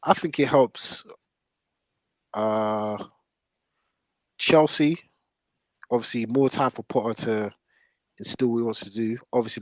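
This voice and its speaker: A man's voice: lowish voice, no room sound. A man hosting a casual football discussion in slangy, emphatic speech. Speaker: podcast host.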